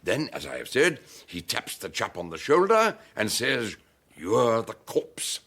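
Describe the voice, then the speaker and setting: An actor playing an excitable, pompous upper-class country-house host in a radio drama, speaking precisely and theatrically. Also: male voice, lowish voice, unhurried, full character voice. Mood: officious, showy.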